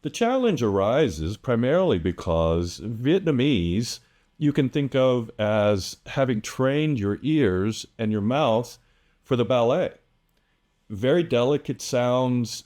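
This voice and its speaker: A man teaching English pronunciation to camera, speaking deliberately and drawing out example words. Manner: lively, animated pitch. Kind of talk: direct-to-camera lesson.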